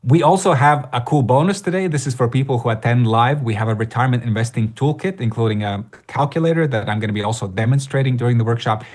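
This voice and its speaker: Man, middle-aged, from Latvia, webinar host, loose chatty delivery, lots of ums and restarts, mid-pitched voice. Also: fast, few pauses. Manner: conversational, many fillers and restarts. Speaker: workshop host. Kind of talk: live webinar presentation.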